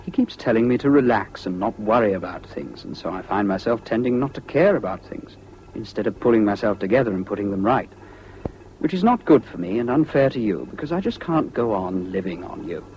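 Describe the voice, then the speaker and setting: A man's voice: lowish voice, animated, fairly quick, a little mellow, natural voice. An actor with a British accent playing an earnest, troubled man voicing an apologetic letter in a 1970s radio drama, with precise delivery. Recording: dull, noisy old radio recording.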